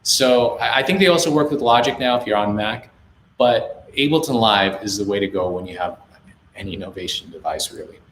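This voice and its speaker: Man as relaxed studio host, roomy sound. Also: fairly low pitch, a little fast, a little hiss or hum. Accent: American. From India. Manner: relaxed, reads viewer comments aloud, then gives friendly gear advice. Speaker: livestream host, music producer. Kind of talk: casual live chat answering questions. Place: home music studio.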